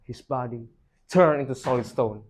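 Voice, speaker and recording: A young male storyteller with a medium-pitched voice. He delivers a folktale like a rehearsed performance, declaiming each phrase with emphasis. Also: normal speed, breathy edge. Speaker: student storyteller.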